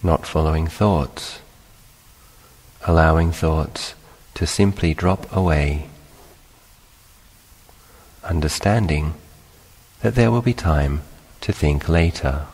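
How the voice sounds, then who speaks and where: Male meditation teacher, extremely low voice, calm guided delivery with long pauses, heavy reverberant hall sound. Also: husky.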